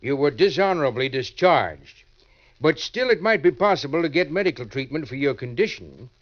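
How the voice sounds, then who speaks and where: An American actor playing an earnest, stern but well-meaning prison warden in an old-time radio drama, speaking formally. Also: male voice, a little high, expressive, moderate pace, fairly bright tone. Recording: dull vintage radio recording.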